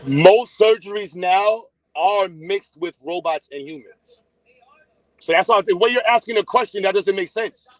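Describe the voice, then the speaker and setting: A blunt, teasing man talks straight to his viewers on a casual livestream. His voice sits high and carries no room echo, picked up dry and close. The sound is dull and lo-fi. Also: a little slow. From United States. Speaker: livestream host.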